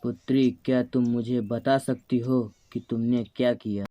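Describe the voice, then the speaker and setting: A man voicing a calm, benevolent tree god in a cartoon moral story, speaking in a measured, formal, fatherly way. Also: mid-range voice, no echo, highs cut off, lightly acted. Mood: calm, puzzled. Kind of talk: Hindi animated moral story acting.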